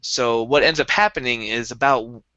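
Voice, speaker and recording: A man's voice: mid-range voice, moderate pace, sharp tone, dry recording, highs cut off. A young man co-hosting a casual podcast, explaining things eagerly in a rambling way with many restarts. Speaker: podcast co-host. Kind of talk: casual chat.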